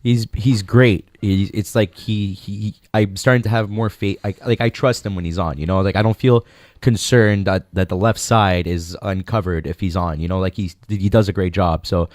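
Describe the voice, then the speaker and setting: A man's voice: sits low, fairly even intonation, normal speed, a little mellow, dry recording, clean studio sound. A man co-hosting a sports podcast, thinking aloud with frequent restarts. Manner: searching, restarts mid-thought. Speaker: podcast co-host. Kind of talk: casual sports chat.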